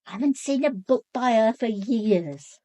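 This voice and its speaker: Radio drama: blunt working-class housekeeper, chatty, indignant streak, highly animated delivery, dry studio sound. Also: female voice, a little slow, no echo. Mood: matter-of-fact. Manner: indignant, gossipy, matter-of-fact.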